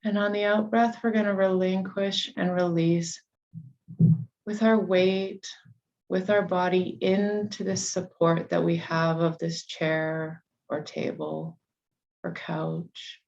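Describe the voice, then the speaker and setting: A woman leading a guided meditation, giving soothing, unhurried instructions with long pauses between phrases. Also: fairly low pitch, level tone, gravelly, darkish tone, muffled recording.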